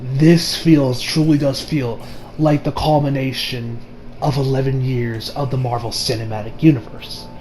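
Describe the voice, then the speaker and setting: A man's voice: mid-range voice, steady tone, a little slow, darkish tone, slight background noise. A man giving a casual, unscripted movie review in an informal, emphatic way with plenty of swearing. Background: background music.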